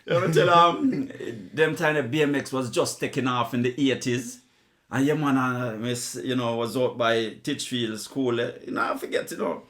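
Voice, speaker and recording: A man's voice: mid-range voice, normal speed, fairly bright tone. A middle-aged man with a Jamaican accent, hosting a casual chat and telling stories. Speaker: host.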